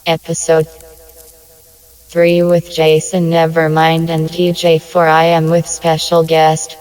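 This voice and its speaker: A man delivering a scripted comic podcast sign-off in a deliberate, monotone announcer's manner. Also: fairly high pitch, clear, resonant tone. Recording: long cavernous reverb.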